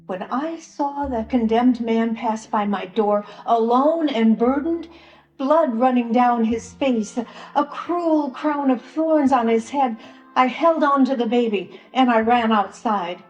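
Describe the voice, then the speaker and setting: A woman playing a sorrowful, troubled grandmother in a solemn Passion drama monologue, pausing long between phrases. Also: fairly high pitch, dull audio. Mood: troubled, grieving. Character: sorrowful grandmother in occupied ancient land, a troubled bystander. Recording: roomy echoing church sound with music.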